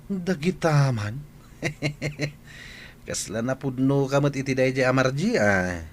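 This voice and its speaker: A man's voice: mid-range voice, expressive, slow pace, slight background noise. A man acting a teasing, skeptical young buddy in an Ilocano radio drama, exclamatory, with an Ilocano Filipino accent. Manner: needling, exclamatory.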